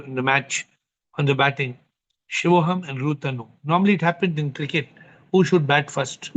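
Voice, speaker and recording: Upbeat man hosting a dull-sounding video call. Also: on the high side. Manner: lively, greets many participants by name. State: tired voice after long speaking. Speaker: host of online spiritual session. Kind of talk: casual group session on a video call.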